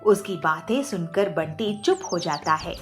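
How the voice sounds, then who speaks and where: A woman's voice: fairly low pitch, animated, measured pace, soft background music. A woman reading scripted narration for a children's cartoon moral story.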